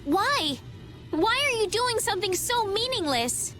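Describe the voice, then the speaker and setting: Casting: sincere teen game heroine, high voice, inquisitive, faint music underneath. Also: female voice, very animated, unhurried, a bit bright, exaggerated voice. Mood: puzzled. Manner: sincere, questioning. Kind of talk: anime video game voice acting.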